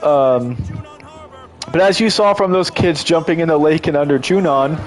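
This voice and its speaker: A man's voice: on the high side, normal speed. A congested man giving casual, enthusiastic gameplay commentary as a streamer, rambling and restarting his sentences often.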